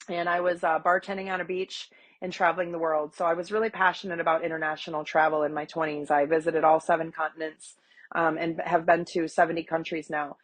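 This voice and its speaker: A woman in her forties from Wisconsin, stuffy from a cold, telling her story in a chatty, animated podcast interview with many fillers. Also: lowish voice. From Wisconsin, USA. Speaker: guest, agriculture advocate. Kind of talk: conversational interview.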